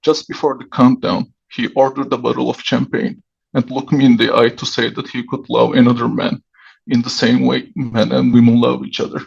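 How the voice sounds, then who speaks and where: A man whose first language is Turkish, reading his own short story aloud over a video call in a measured way. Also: mid-range voice, flat delivery, gravelly, breathy edge. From Turkey. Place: dimly lit home room. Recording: dull lo-fi video call audio.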